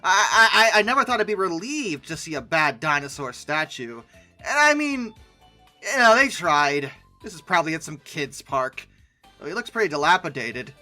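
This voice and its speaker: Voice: medium pitch, very animated, moderate pace, pushed and bright, soft background music. A person giving humorous reaction commentary in a sarcastic, exasperated manner. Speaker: host of a commentary video.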